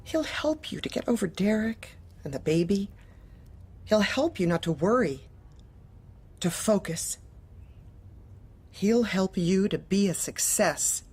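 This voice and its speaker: An actress playing a cold, controlling female corporate manager in a dark comedy audio drama, with a clipped, evasive, patronizing manner. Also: medium pitch, animated, takes it slowly, pauses often, husky. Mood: coolly insistent.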